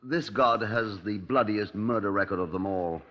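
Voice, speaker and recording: An actor playing a measured, wry British chief inspector recounting a case in a radio drama. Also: male voice, fairly low pitch, lightly acted. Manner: measured, storytelling. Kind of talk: 1950s radio drama narration. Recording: dull old radio recording.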